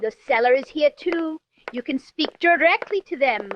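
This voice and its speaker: An actress playing a gracious, genteel society hostess in an old-time radio drama, polite and effusive. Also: female voice, sits high, normal speed, a bit bright, lo-fi sound, slight background noise. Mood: gracious, delighted. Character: gracious, genteel wealthy hostess, easily shocked.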